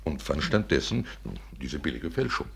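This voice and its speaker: Elderly gentleman, deep creaky husky voice, overly polite, fussy, hesitant, repetitive, vintage radio drama. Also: very animated, normal speed, slight background noise, heavily acted. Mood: earnest, plaintive. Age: older. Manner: effusively polite, hesitant, repeats himself. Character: courteous, fussy old gentleman collector of snuffboxes. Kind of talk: 1950s German radio play acting.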